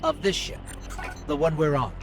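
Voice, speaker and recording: An earnest robot sidekick from a sci-fi video game, wry and easily worried. The voice sits fairly high and moves about a lot in pitch. Music plays clearly behind it. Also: male voice, big pitch swings, moderate pace. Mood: anxious. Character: anxious, chatty robot companion guiding a sci-fi soldier.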